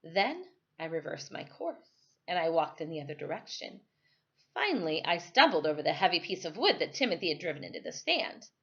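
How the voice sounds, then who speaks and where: A woman reading a novel aloud as a storyteller, with careful, dramatic phrasing and expressive narration. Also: low-pitched, a little fast. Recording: dull, lo-fi sound.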